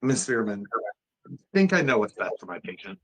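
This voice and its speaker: A man's voice: medium pitch, very animated, fairly quick, fairly bright tone. A man playing an authoritative psychiatric doctor in a dramatic table read, questioning and insistent. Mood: stern, skeptical.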